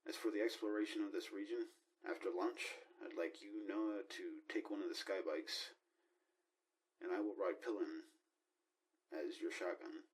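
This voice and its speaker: A man playing a commanding, impatient spaceship mission leader in an amateur audio drama, speaking in a measured, deliberate way. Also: on the low side, steady tone, close to an everyday voice.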